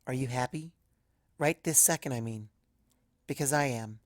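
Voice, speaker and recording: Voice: medium pitch, moderate pace, no echo, studio quality, lightly acted. A narrator voicing a playful, affectionate young female pegasus pony in a story, teasing and reassuring. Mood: tender, reassuring.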